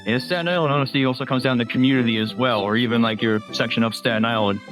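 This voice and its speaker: A man explains things quickly in a conversational tone. His pitch is ordinary, and faint music sits underneath. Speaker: local resident interviewee. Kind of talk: documentary interview.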